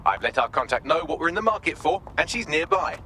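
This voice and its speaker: Droll British male AI helper for a game: bright, higher-pitched, sardonic mission briefings. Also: big pitch swings, fast talker, slight background noise. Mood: wry, theatrical. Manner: wry, wordy, sardonic, crisp. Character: droll, theatrical male artificial intelligence assistant. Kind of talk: video game mission guide dialogue.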